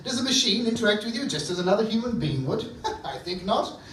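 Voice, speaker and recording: A man's voice: high-pitched, big pitch swings, brisk, a bit bright. A man playing a pompous, fussy, easily exasperated evil professor in a live stage comedy. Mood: indignant, exasperated. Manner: pompous, fussy, theatrical ranting. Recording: echoey theatre, distant mic, audience noise.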